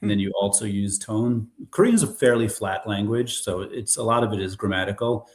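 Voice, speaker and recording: A man's voice: lowish voice, a little fast, reverberant. An American man being interviewed, telling stories in a rambling, casual way with frequent restarts. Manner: rambling, restarts often, animated storytelling. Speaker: guest, former bar owner in Korea.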